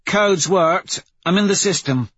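A man voicing a brisk female tech soldier giving clipped, factual reports in an audiobook. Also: high voice, fairly bright tone, husky, no room sound, dull audio, pitched above the performer's own voice, heavily acted. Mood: focused.

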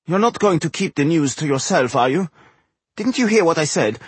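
A man voicing a nosy, insistent gnome in a storybook, pestering in a husky voice. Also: a little high, expressive, moderate pace, no echo, pitched above the performer's own voice. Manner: pestering, questioning.

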